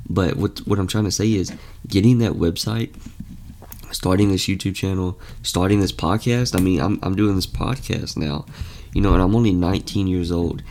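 Young man, very deep voice, earnest rambling podcast talk. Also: moderate pace, a little mellow, a little hiss or hum. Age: young adult. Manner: earnest, rambling with frequent fillers and restarts. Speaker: podcast host. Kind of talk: casual motivational podcast talk.

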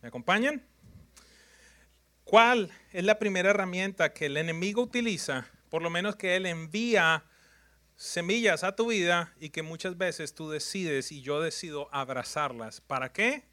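A man's voice: high voice, normal speed, pushed and bright. A man preaching a sermon in numbered points, emphatic and repetitive, often putting questions to his congregation.

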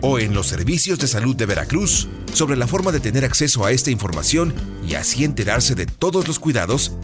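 A Mexican man presents a radio series from a script. His medium-pitched voice swings widely in intonation, with prominent music underneath. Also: sing-song, brisk.

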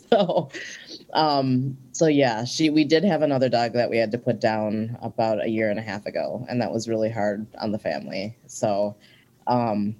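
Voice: medium pitch, moderate pace, a little mellow, dull audio. A guest in their forties from Minnesota, talking earnestly and reflectively in a casual interview, with frequent ums.

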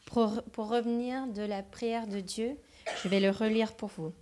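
Woman translating a sermon live, slow, stops and restarts a bit. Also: a little high, fairly even intonation, dark tone. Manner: translating phrase by phrase with hesitations. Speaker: interpreter.

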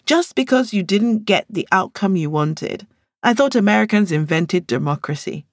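A woman voicing a sharp, teasing female friend in an audiobook, full of retorts and mock innocence. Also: medium pitch, animated, normal speed, no echo, studio quality, pitched above the performer's own voice, close to an everyday voice. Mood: gloating.

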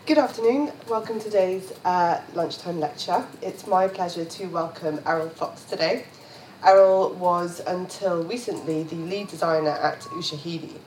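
A woman's voice: on the low side, normal speed, darkish tone. A woman hosting a lunchtime lecture, politely welcoming the speaker and giving housekeeping notes with a few fillers. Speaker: event host. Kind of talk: introducing a speaker. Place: lecture room. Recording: echoey room with faint noise.

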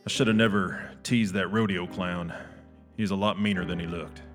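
A man playing a folksy, deadpan cowboy host of a comedy show. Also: sits low, moderate pace, faint music behind. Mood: folksy, easygoing. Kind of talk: scripted comedy hosting.

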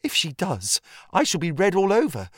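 Audiobook role: male narrator doing hesitant young female student, wry, questioning, expressive pitch, dry close recording. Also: moderate pace, breathy edge, dry recording, exaggerated voice. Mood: doubtful, wry. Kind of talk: acted audiobook dialogue.

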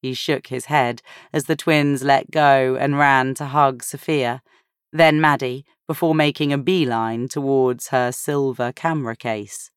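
Audiobook narrator: woman, low register, measured pace, dry studio sound. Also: steady tone, clear, resonant tone, no room sound. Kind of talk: scripted fiction narration.

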